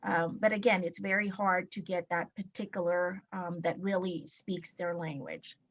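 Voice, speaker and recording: A woman's voice: fairly low pitch, fairly even intonation, normal speed. Woman from laos talking on a webinar panel, lots of ums and restarts, kinda muffled lo-fi audio. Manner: hesitant, many ums and restarts. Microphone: video call.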